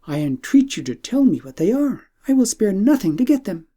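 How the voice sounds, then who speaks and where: A man's voice: high-pitched, sing-song, a little fast, dark tone, pitched above the performer's own voice. A man voicing an eager, earnest young princess in a storybook, with formal, pleading politeness. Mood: eager, grateful.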